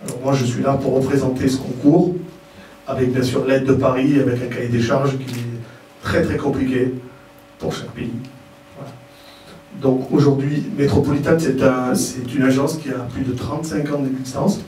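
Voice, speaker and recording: A man's voice: medium pitch, flat delivery, moderate pace, mellow. A man giving an informal spoken presentation as a contest president, hesitating and repeating words as he explains, recorded at a distance. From Algerian family origin. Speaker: president of a model contest, speaker at press presentation. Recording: roomy echo, distant mic, faint noise.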